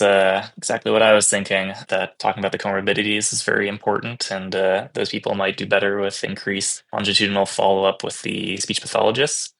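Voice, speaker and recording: Very gravelly, low-toned man. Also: fairly bright tone, rough recording. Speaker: physician researcher interviewed as guest. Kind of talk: podcast interview, discussing research.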